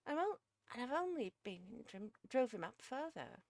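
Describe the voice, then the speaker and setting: A woman's voice: a little high, big pitch swings, moderate pace, dry recording, clean studio sound, voice pushed up. Woman acting a plaintive, resigned servant cook. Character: put-upon, philosophical servant wife, working-class woman cook.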